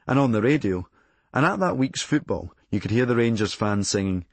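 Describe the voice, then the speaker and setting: A Scottish man from Glasgow narrates a memoir in a husky voice. His delivery is deadpan and drily comic, yet the pitch moves in lively swings. The sound is dry and free of room echo. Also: brisk. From Glasgow, Scotland. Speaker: audiobook narrator and author.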